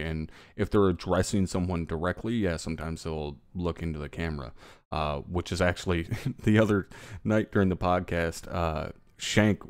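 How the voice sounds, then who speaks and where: A man's voice: deep voice, a little mellow, dry recording, clean studio sound. A middle-aged man hosting a podcast, chatting casually with profane, joking banter. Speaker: podcast host. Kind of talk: casual chat.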